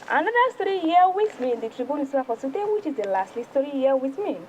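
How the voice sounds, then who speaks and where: A female news presenter with a Nigerian accent reviews the newspapers in a high voice. Her delivery swings widely in pitch and is emphatic and deliberate. Some background noise sits faintly behind her. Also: sing-song, a little mellow, dull audio. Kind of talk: read-aloud newspaper review on TV.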